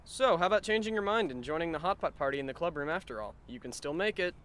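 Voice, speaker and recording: A man playing a sardonic, weary male high school student who narrates and talks dryly in an anime fan dub. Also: lively intonation, fast talker, a bit bright, slight background noise. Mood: puzzled, casual. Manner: dry, wry, long-suffering.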